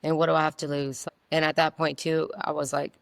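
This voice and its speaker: Raspy woman, flat delivery. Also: low-pitched, brisk, dry recording. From South Louisiana. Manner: open, rambling, many fillers. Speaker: singer-songwriter guest. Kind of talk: casual interview answers.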